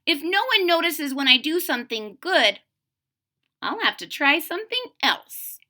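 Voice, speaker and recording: Woman as an indignant high-pitched little witch girl. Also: sing-song, a little slow, pushed and bright, exaggerated voice. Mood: frustrated, scheming. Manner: indignant, emphatic. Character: little witch girl, overlooked, stubborn and mischievous.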